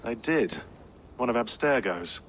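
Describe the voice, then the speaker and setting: A man's voice: medium pitch, lively intonation, a little slow, a bit bright, slight background noise. A man playing a dry, pedantic, sardonic tech expert in a video game. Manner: wry, pedantic. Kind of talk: cinematic video game acting.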